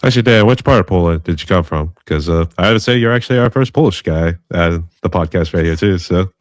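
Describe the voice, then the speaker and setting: A man with a very deep voice hosts a casual music podcast and asks friendly, rambling questions. The sound is dry and close to the mic. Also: quick pace, no echo. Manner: friendly, loose, filler-laden questions. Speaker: podcast host. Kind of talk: casual interview hosting.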